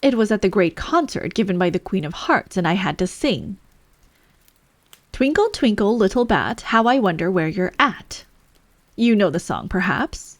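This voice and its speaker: A woman's voice: mid-range voice, sing-song, normal speed, no echo. A woman voicing an eccentric male hat-maker, haughty and mournful, in a storybook reading. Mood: expounding.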